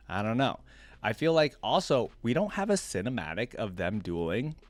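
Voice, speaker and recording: A man hosting a reaction video, switching between reading aloud and casual, rambling commentary as he thinks out loud. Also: on the low side, very animated, normal speed, no echo.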